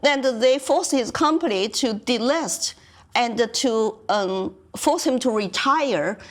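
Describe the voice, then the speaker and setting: A woman's voice: on the high side, animated, takes it slowly. A woman with a Mandarin Chinese accent, a guest on a local TV talk show, making her case earnestly and asking rhetorical questions. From China. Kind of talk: political talk show discussion. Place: local community TV studio.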